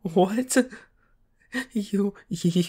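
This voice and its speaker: A man playing a shy, flustered, submissive young pop star boyfriend in a roleplay audio, with soft breathy, stammering, hesitant speech. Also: sits high, very slow, heavily acted. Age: young adult. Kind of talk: roleplay ASMR audio acting.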